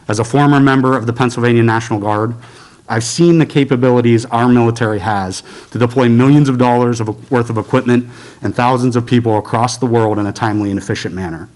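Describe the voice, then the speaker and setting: A man's voice: mid-range voice, fairly even intonation, fast talker, a little mellow. Formal American man giving prepared remarks. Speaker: state legislator. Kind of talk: prepared remarks at a press briefing.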